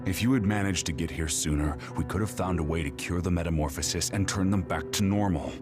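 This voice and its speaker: A man's voice: deep voice, a little fast, music in the background, lightly acted. An actor playing a brooding, desperate man in a dramatic video game scene. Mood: grave, yearning.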